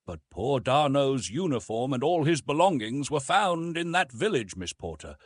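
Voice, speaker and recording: A formal, courteous naval captain from an old adventure novel, skeptical and reasoned as a male narrator plays him. The delivery moves up and down freely, with a slightly husky edge. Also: medium pitch, very animated, moderate pace, no echo, clean studio sound, pitched above the performer's own voice. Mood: skeptical, arguing.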